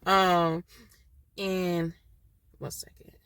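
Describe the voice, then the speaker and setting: A woman's voice: fairly low pitch, little pitch movement, extremely slow, fairly bright tone, smooth, dry recording. A woman with a stuffy nose from a cold, chatting casually and laughing often while reviewing a reality TV episode. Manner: chatty, laughs often, loose slangy talk. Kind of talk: casual chat.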